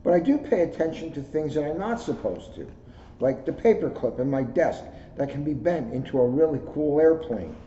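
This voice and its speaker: A man's voice: fairly high pitch, animated, moderate pace, dark tone. A man who works as a police officer, reading a children's book aloud indoors in a storytelling style. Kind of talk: read-aloud storytelling with casual asides. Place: indoors, second floor of a borough hall. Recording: roomy echo, dull lo-fi sound, clear noise.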